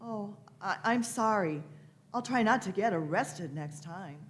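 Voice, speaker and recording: A woman plays an eccentric old lady time traveller in a sci-fi radio play. She is imperious and fussy, with a mid-pitched voice, little hums and doubled-up words. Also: animated, unhurried, close to an everyday voice. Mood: fond, thoughtful. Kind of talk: live radio play reading.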